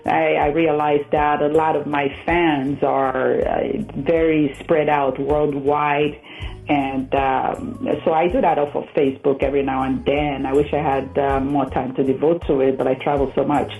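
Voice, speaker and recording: A woman's voice: deep voice, flat delivery, unhurried, faint music behind. A woman from Nigeria, a matchmaker, chatting as a guest in a radio interview and laughing often. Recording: tinny phone line.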